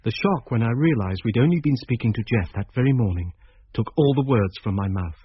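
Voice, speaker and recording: An actor playing a troubled, introspective schoolteacher who narrates a radio drama in a brooding, uneasy way. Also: male voice, mid-range voice, animated, fairly quick, husky, dry recording. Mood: sombre, reflective. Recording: muffled, lo-fi old radio recording.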